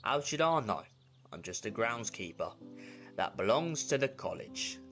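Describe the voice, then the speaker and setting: A man acts a guarded, gruff senior groundskeeper in an audio drama. He speaks slowly in a lowish, lively voice. Faint music sits behind him. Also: heavily acted. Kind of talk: fan audio drama acting.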